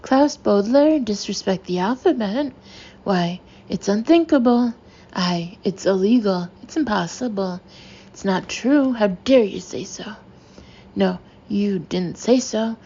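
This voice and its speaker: A woman voicing an excitable male sea captain who talks loudly, keeps correcting himself and tacks "aye" onto his sentences. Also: medium pitch, a little slow, breathy edge, dull audio. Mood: indignant.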